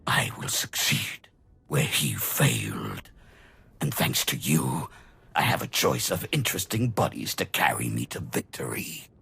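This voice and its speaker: An actor playing an arrogant, coldly amused immortal dark-side villain in a video game, speaking with theatrical, drawn-out condescension and a gravelly, husky voice. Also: male voice, very deep voice, sing-song, full character voice. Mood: menacing scorn. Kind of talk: dramatic video game acting.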